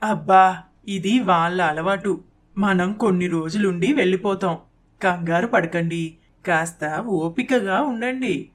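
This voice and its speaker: A woman voicing a mild, patient older village wife and mother in an animated moral story, with a homely, soothing manner. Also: lowish voice, measured pace. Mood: resigned, patient.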